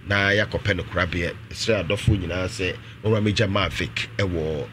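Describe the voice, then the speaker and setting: A man in his thirties with a Ghanaian accent, reading sponsor messages and community announcements on radio with frequent pauses. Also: low-pitched, steady tone, a bit bright, a little hiss or hum. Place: small radio studio indoors. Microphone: desk studio microphone.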